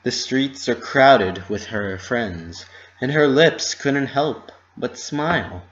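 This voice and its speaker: A narrator reads a story aloud in a dramatic storybook style. The voice sits at a medium pitch. The home recording sounds a bit dull and lo-fi. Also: animated, takes it slowly.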